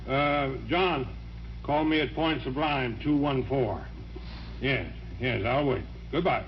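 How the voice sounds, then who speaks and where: A brusque, authoritative country doctor acted by a man in a vintage radio play. His mid-pitched voice is urgent and determined, on a dull old recording with faint hiss. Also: slow, lightly acted. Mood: grave, concerned. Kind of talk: old-time radio drama acting.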